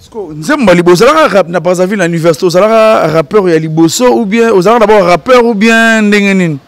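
A Congolese man hosting a casual music interview outdoors on a handheld mic, animated and joking, switching freely between languages. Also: high-pitched, fast talker. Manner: animated, laughing, breaks into sung bits. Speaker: host. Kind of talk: casual interview, mixing French and Lingala. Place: outdoor courtyard.

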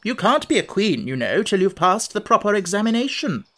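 A man voicing a bossy, sharp-tempered chess queen in an audiobook, scolding and imperious. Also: very high voice, sing-song, a little slow, voice pushed up, heavily acted. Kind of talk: audiobook character voice.

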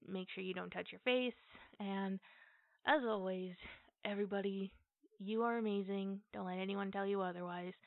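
A woman's voice: mid-range voice, no room sound. A thin, phone-like recording with hardly any room sound carries a woman's voice. She hosts her channel informally, talking straight to listeners without a script. Her delivery halts and picks up again as she goes. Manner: halting, informal, addressing listeners directly. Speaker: channel host. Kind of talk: casual unscripted channel announcement. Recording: thin, band-limited phone-like audio.

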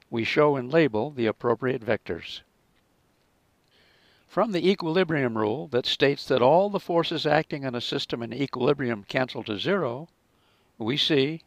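A man narrating a scripted physics lesson as a teacher, in a measured, explanatory manner. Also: medium pitch, dry recording.

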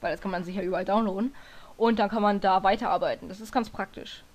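A woman with a hoarse voice walks through a software tutorial in a loose, chatty way. She rambles, corrects herself mid-sentence and fills gaps with hesitations. Her pitch sits in an ordinary middle range. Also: quick pace. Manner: rambling, self-correcting, lots of fillers. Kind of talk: casual screen-recording tutorial.

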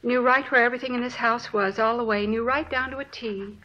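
A woman's voice: on the high side, steady tone, brisk, sharp tone. A woman playing a shaken, indignant American housewife in her forties, a crime victim in a 1950s radio drama, talking in plain, clipped sentences. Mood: earnest. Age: 40s. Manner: plain-spoken, dropping sentence openings. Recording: dull vintage radio recording.

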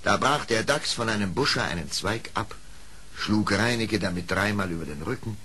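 A man narrating a storybook radio play in a measured, literary way. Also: fairly low pitch, animated, sharp tone. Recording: old record with faint noise.

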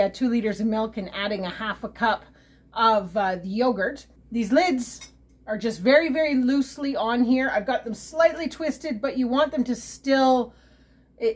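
Woman, home cook and channel host; upbeat, chatty, thinks aloud, voice sits a bit high. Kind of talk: casual how-to cooking video. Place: home kitchen.

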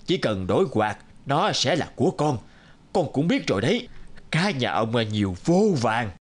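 Blustering, greedy rich landlord. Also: male voice, mid-range voice, very animated. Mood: wheedling. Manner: wheedling, blustering, full of drawn-out hesitations. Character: greedy, bullying, pompous rich landlord, heavyset middle-aged man. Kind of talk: animated folktale dialogue.

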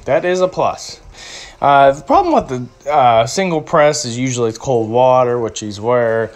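A man's voice: mid-range voice, expressive, moderate pace. Playful young man rambling in a voice-over. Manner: playful, rambling, mock-serious. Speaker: restroom review vlogger. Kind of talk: casual joking voice-over review. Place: home kitchen, voice-over.

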